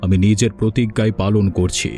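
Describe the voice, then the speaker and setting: A man voicing a well-meaning village man in a cartoon, earnest and measured. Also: lowish voice, fairly even intonation, dark tone, faint music behind, lightly acted. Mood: kind, supportive. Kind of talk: Bengali cartoon voice acting.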